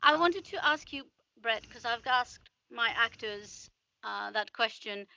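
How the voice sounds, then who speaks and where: A woman with a bright, fairly high voice works as an interviewer. She is measured and polite. The recording is a little dull. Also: animated, gravelly. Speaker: interviewer, theatre company artistic director. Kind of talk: prepared interview questions.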